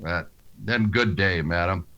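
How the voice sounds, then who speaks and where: An actor playing a weary, stern frontier marshal in a grave radio western style. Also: male voice, sits low, a little slow, pushed and bright, muffled recording, slight background noise, full character voice. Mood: uneasy, apologetic. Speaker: lead actor and narrator. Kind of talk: old-time radio western drama acting.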